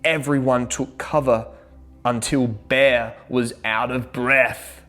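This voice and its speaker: A woman hosting a children's read-aloud, telling a rhyming picture book in an expressive, dramatic way. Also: extremely low pitch, takes it slowly. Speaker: children's story reader and host.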